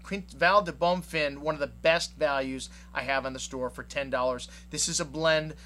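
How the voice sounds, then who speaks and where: Casual wine shop owner, a man with a slightly high voice, rambling tasting notes over a faint hum. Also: fairly even intonation, moderate pace, pushed and bright. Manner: chatty, rambling with many fillers.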